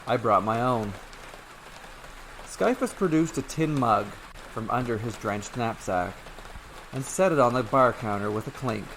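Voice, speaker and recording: A man narrates a short fairy tale in a steady, even reading voice at a middle pitch. A faint hiss of rain noise sits underneath the voice. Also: normal speed, low-quality recording. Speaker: storyteller. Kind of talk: scripted story narration.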